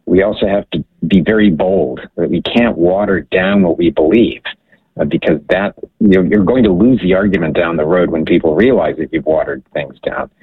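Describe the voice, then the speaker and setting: Deep gravelly man on a phone line. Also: no echo. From Hawaii. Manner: earnest, measured storytelling. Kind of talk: radio interview by phone. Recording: tinny, dry phone line.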